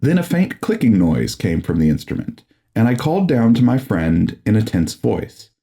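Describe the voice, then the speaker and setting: A man's voice: on the low side, big pitch swings, normal speed, gravelly, warm tone. A man narrating a gothic horror audiobook in a solemn, formal and dramatic manner.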